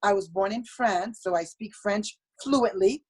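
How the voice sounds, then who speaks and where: Woman from Haiti, mid-pitched guest on a video call, measured and polite, reading from notes. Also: darkish tone, slightly rough. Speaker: meeting guest.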